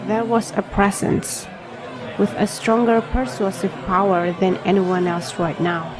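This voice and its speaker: A woman narrating an audio drama in the first person as an anxious young protagonist, grave, measured and introspective. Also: mid-range voice, flat delivery, music in the background, crowd noise, lightly acted. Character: anxious young reader, inwardly narrating an apocalypse.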